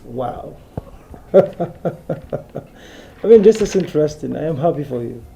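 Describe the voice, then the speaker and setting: Mellow-voiced young Nigerian prince. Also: male voice, fairly high pitch, lively intonation, takes it slowly, gravelly, slight background noise, exaggerated voice. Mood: thoughtful, friendly. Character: easygoing young crown prince seeking a bride. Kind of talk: naturalistic Nollywood film acting.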